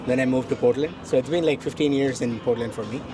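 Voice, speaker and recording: A man from India answering a street interviewer outdoors with relaxed, brief replies. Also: medium pitch, fast talker, a crowd in the background. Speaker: passerby interviewee. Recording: outdoor street recording with music bed.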